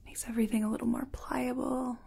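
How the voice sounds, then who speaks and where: A woman speaking softly and often in a whisper, gently guiding the listener through an ASMR personal attention roleplay as an energy healer. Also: on the high side, level tone. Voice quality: soft, breathy, often whispering. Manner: gentle, unhurried, reassuring. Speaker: ASMR performer playing an energy healing practitioner.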